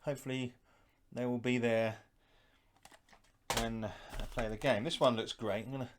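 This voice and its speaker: A man's voice: medium pitch, steady tone, normal speed, noisy background. A middle-aged man giving casual, unscripted unboxing commentary, musing aloud as he handles things. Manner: musing, thinking aloud while handling items. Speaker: host of a retro gaming unboxing channel.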